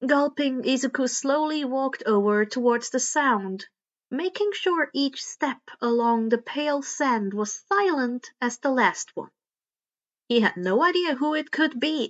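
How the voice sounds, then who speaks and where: Female fiction narrator, high voice, expressive scripted read, slightly dull lo-fi recording. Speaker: podfic narrator reading fan fiction.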